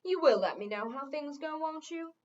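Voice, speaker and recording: A woman's voice: high voice, animated, moderate pace, a little mellow, pitched above the performer's own voice. A woman voicing a smugly courteous male wizard in a storybook, speaking with formal, excessive politeness. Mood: smooth, insistent. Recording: dull, lo-fi sound.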